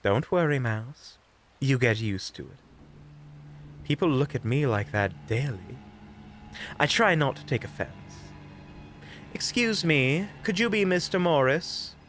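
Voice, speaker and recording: A man playing a witty, unflappable investigator in an audio roleplay, with a coy, theatrical, mockingly polite and drawn-out delivery. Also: mid-range voice, lively intonation, fairly bright tone, slight background noise, voice pushed up. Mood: polite, teasing. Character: flamboyant, unflappable, witty investigator in their prime. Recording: background music.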